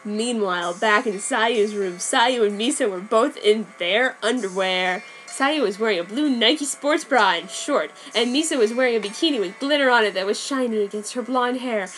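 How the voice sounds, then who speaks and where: Woman reading with deadpan mock drama. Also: on the high side, normal speed, hardly any pauses, a little hiss or hum, rough recording. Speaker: reader. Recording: background music. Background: soundtrack music.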